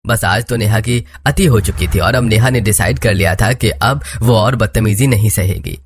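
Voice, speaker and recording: A man's voice: on the low side, normal speed, slightly rough. A man narrating a scripted cartoon story as its storyteller.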